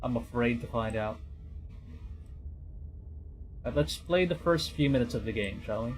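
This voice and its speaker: A man from the Philippines chats casually as he hosts a game livestream. His delivery is unhurried and halting, and he often circles back to restate a phrase. Faint music plays behind his mid-pitched voice. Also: lively intonation. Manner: hesitant, halting, repeating and rephrasing phrases. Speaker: VTuber livestream host.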